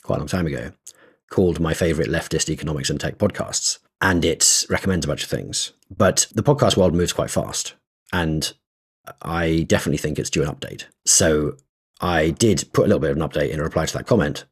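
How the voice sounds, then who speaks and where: A very low-voiced man with a husky, slightly rough tone, chatting on a podcast. He explains things at length, thinking aloud, often restarting sentences and dropping in small laughs. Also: brisk. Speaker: podcast co-host. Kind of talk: casual conversational podcast.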